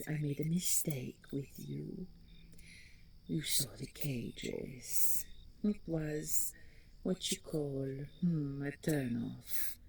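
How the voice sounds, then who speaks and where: Woman playing a slow, husky, vain ghostly dragon queen. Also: a little mellow, full character voice. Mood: taunting, menacing. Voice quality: low, breathy, husky, creaky. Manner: slow, theatrical, mocking, slipping into whispers. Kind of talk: dramatic audio roleplay monologue.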